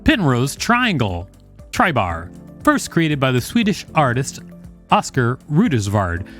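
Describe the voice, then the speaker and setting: A man with a medium-pitched voice co-hosts a podcast. He reads encyclopedia material aloud and adds casual, conversational asides. Soft music plays underneath. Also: huge pitch swings, slow pace. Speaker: podcast co-host.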